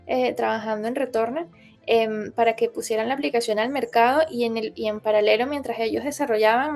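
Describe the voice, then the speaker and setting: A woman's voice: on the high side, gravelly, a little mellow. A young woman from Colombia, raised in Venezuela, giving a hesitant interview full of fillers and restarts. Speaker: interviewee, founder. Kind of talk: interview testimony.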